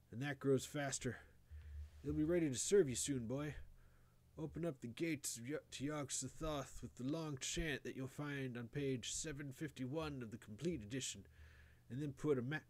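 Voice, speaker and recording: A man voicing a dying, half-mad old rustic grandfather in a horror story, halting and urgent, with wheezing, choked speech. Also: mid-range voice, darkish tone, pitched above the performer's own voice, close to an everyday voice. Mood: urgent, raving.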